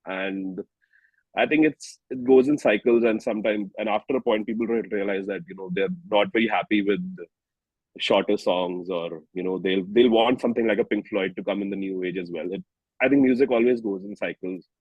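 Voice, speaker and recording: A man's voice: on the low side, fairly quick, breathy edge, no echo, muffled recording. A young man with an Indian accent, chatting earnestly and reflectively as an interview guest, often saying "you know". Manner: earnest, reflective, peppered with 'you know'. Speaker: interview guest, singer-songwriter. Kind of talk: casual interview chat.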